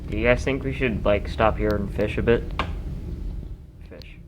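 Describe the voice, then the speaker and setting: A man's voice: fairly low pitch, steady tone, normal speed. A man talking casually as a player in a tabletop game. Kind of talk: casual chat. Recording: noisy home group recording.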